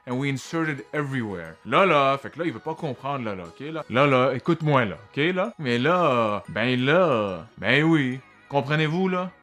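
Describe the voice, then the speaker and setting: A man, explaining slang with animated, emphatic interjections in a humorous clip. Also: mid-range voice, normal speed, few pauses, soft background music. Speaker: language explainer.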